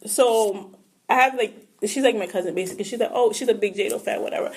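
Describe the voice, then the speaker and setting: A young woman with a New York accent, co-hosting a podcast in relaxed, slangy chat. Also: medium pitch. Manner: relaxed, slangy, quick agreeing. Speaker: podcast co-host. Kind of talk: casual chat.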